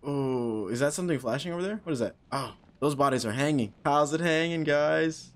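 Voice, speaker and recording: A man's voice: a little high, fairly quick. A young man in his twenties streaming a horror game on a headset mic, joking nervously and talking to himself. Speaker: gaming streamer. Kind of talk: casual horror game commentary.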